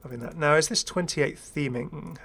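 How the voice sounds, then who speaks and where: A man's voice: on the high side, normal speed, fairly bright tone, husky. A man giving casual, rambling first-impressions commentary as a gaming video creator, chatty, enthusiastic and self-deprecating.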